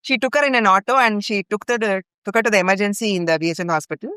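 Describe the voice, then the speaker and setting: A woman's voice: mid-range voice, very fast, a bit bright, clean tone, no echo, clean studio sound. A middle-aged woman from India with an Indian English accent, earnestly telling a long personal story as a podcast guest. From India (grew up in Bhopal). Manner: earnest, recounts events in long chains with fillers. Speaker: guest, longtime ashram volunteer.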